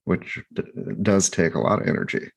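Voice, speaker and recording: A man co-hosting a podcast, talking through his thoughts in a reflective, halting way with many restarts and fillers. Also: deep voice, fairly even intonation, creaky. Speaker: podcast co-host. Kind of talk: reflective casual discussion.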